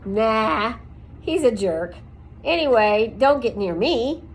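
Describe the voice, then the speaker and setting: Woman doing a loud blunt old porcupine guy, scoffy, slow and bouncy. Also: fairly high pitch, expressive, slight background noise, poor audio.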